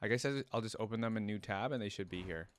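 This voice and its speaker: A man's voice: lowish voice, fairly even intonation, fast. A man hosting a reaction video, musing aloud in casual, conversational commentary. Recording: game music behind the voice. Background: music from the game video being watched.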